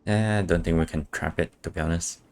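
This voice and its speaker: A man's voice: sits low, fairly even intonation, fast, darkish tone, slight background noise. A man casually commenting on a game as he streams it, with skeptical, offhand remarks. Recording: game music behind the voice. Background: video game music.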